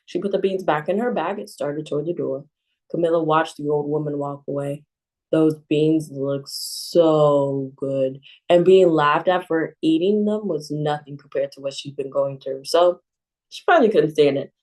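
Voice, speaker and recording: A woman librarian reads a children's picture book aloud, calm and expressive. Her voice sits low and sounds soft and mellow. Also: moderate pace, rough recording.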